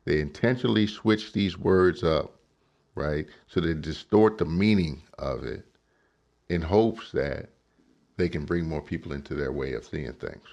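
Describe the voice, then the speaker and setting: A man's voice: a bass voice, measured pace, creaky, a little mellow, muffled recording. A man giving emphatic political commentary on a clip, often asking "right?" to make his point. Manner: emphatic, asks rhetorical questions, says "right?" often.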